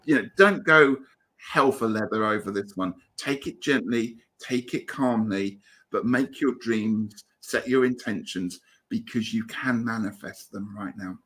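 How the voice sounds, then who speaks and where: A man with a British accent giving gentle, reassuring tarot readings over a video call. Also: lowish voice, unhurried. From Britain. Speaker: guest tarot reader. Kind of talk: casual livestream tarot reading.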